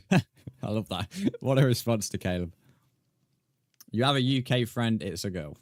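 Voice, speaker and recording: Low-voiced English man chatting on stream. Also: lively intonation, measured pace, no echo, clean studio sound. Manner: rambling, matey. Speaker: video game live streamer. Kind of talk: casual chat with live stream viewers.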